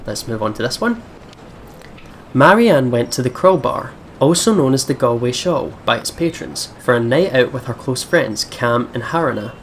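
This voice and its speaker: A man's voice: medium pitch, lively intonation, normal speed, slight background noise. A man giving casual, rambling gaming commentary with slang and swearing, sometimes reading text aloud. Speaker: let's play host. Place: at home at a computer setup. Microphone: desk microphone.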